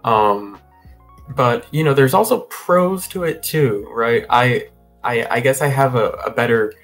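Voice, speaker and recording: A man's voice: mid-range voice, measured pace, a bit bright, soft background music. Easygoing young man rambling on a video call. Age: young adult. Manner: rambling with many fillers and restarts, easygoing. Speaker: guest. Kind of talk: casual interview. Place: home room over a video call.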